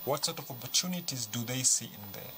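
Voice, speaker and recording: A man's voice: medium pitch, animated, fairly quick, sharp tone. A man with a Zambian accent explaining as a panel guest, conversational and given to rhetorical questions. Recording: clear background noise.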